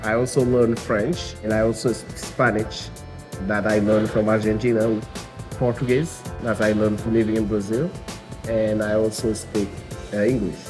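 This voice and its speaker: A man from Senegal with a Senegalese accent, Wolof his first language, a restaurant owner and chef talking calmly about his food and life. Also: lowish voice, moderate pace, over music. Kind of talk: documentary interview, explaining his food.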